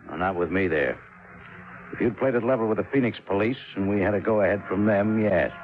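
An actor playing a skeptical, by-the-book homicide sergeant in a 1950s radio drama, with a blunt, terse, grudging manner. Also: male voice, on the low side, a little fast, natural voice. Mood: dismissive, firm. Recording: muffled, noisy 1950s radio recording.

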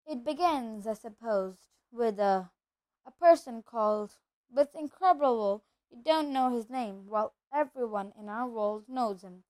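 Woman voicing a folksy, blustering giant man, high-pitched. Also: measured pace, darkish tone, natural voice, rough recording. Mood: anxious, reluctant. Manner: folksy, colloquial, emphatic. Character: gruff giant man, blustering but kind-hearted.

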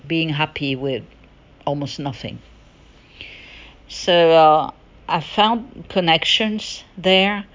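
Low-voiced, slow-speaking woman podcaster. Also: fairly bright tone. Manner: hesitant, halting delivery with pauses. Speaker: podcast host. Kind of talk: unscripted personal monologue. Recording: dull, lo-fi audio.

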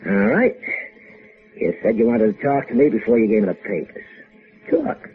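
An actor playing a bullying crook who is falsely sweet and menacing, wheedling and repeating himself in a 1950s radio drama. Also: male voice, fairly low pitch, very animated, normal speed. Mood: coaxing menace. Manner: wheedling, threatening, repetitive. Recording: narrow-band old radio recording.

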